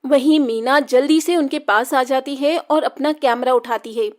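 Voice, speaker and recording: A woman's voice: high voice, measured pace. A woman narrating a scripted children's story as the storyteller.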